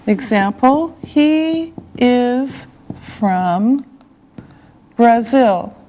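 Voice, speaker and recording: Slow, patient woman teaching English. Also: fairly high pitch, dark tone, a little hiss or hum. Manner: very slow and deliberate, one word at a time, with repetition; patient, encouraging classroom tone. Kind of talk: scripted language lesson for beginners.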